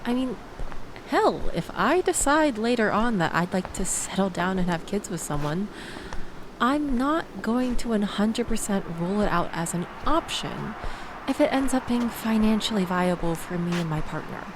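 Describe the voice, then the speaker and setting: A woman playing a sarcastic young woman venting about her evening in an intimate, conversational audio roleplay, with expressive, wry delivery. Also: mid-range voice, normal speed, background noise, lightly acted. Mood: earnest, playful. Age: young adult. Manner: wry, sarcastic, animated storytelling with sighs and laughs.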